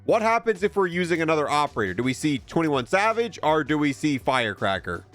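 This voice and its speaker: A man's voice: a little high, big pitch swings, a little fast, a bit bright, soft background music. A man giving casual commentary as he reviews video game content. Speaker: gaming video creator reviewing a game bundle.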